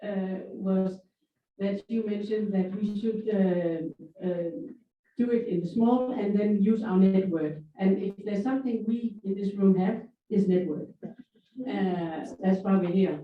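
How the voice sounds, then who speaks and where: A woman's voice: medium pitch, slow pace. A woman from Denmark making an earnest audience comment at a workshop, urging others to act. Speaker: workshop participant. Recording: thin phone-like sound, echoey hall, far.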